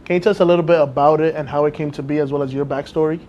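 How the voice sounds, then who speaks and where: A young man with a fairly high voice co-hosts a student interview. He asks his questions conversationally. Clear rumbling noise sits in the background. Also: steady tone, racing, lo-fi sound. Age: young adult. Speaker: student co-host.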